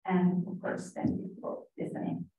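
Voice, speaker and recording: A woman giving a conference presentation as a working group presenter, in an earnest, steady manner. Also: moderate pace. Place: conference room. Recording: echoey hall from far off.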